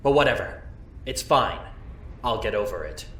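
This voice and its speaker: A man's voice: lowish voice, animated, moderate pace, a bit bright. A man playing an anxious, defensive young man in an anime fan audio drama. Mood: uneasy. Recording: echoey, distant, faint noise.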